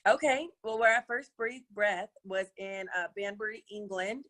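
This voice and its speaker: Raspy, bright-toned woman guest, lively and quick to laugh, talking over a video call. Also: medium pitch. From Colorado, USA. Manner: energetic, laughs often, many fillers. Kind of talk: casual interview chat. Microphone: webinar video call.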